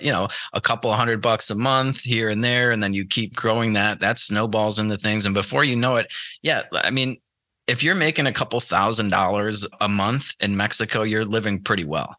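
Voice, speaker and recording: Very bright, low-pitched man. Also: dry recording. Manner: informal, opinionated, conversational. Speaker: podcast guest, trading teacher. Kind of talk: casual interview chat. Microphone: close mic on an internet call.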